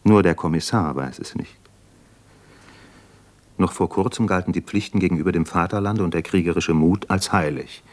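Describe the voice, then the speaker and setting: An actor playing an earnest, homesick young doctor and poet in a reflective, intense 1950s German radio drama style. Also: male voice, low-pitched, moderate pace, a little mellow. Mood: brooding.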